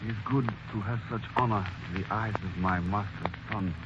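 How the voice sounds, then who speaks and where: Radio-play servant, male, humble and formal, played by a man, low-pitched, hissy old recording. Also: moderate pace, dull audio, lightly acted. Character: soft-spoken deferential male servant. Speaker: actor. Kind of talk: 1940s radio acting.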